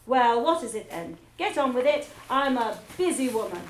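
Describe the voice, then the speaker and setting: A woman voicing a bullying, barking headmistress who hardly ever speaks in a normal voice, loud and impatient. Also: fairly high pitch, lively intonation, normal speed, a little hiss or hum, natural voice. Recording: echoey room, background ambience.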